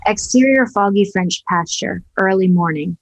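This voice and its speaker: A woman reading screenplay scene directions aloud at a table read, in an even, matter-of-fact way. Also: medium pitch, moderate pace. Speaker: stage-direction reader.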